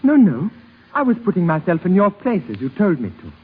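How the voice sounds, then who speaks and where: A gentle young grandson, speaks in a husky, hesitant way with earnest respect. The old radio drama sound is dull and washed in reverb. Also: male voice, high-pitched, very animated, brisk, exaggerated voice. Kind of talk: 1950s radio drama acting.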